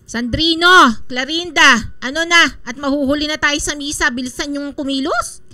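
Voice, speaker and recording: A woman's voice: sits high, normal speed, sharp tone, no room sound. An actress playing a bossy middle-aged mother in a Filipino radio drama, with an exasperated manner. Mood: scolding, impatient. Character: nagging, two-faced middle-aged mother, sharp-tongued at home.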